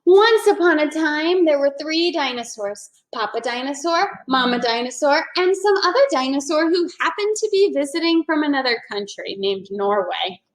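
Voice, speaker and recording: A woman teacher reads a picture book aloud with playful, animated storytelling. Her voice is high and swings widely in pitch. The recording sounds echoey. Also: moderate pace, few pauses. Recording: echoey room.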